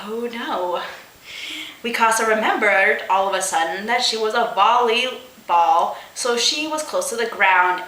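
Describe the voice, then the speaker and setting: A woman's voice: mid-range voice, bright, forceful tone, reverberant, rough recording. A woman reading comic fiction aloud as host, theatrical, with sarcastic, exasperated asides. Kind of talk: comedic dramatic reading with asides.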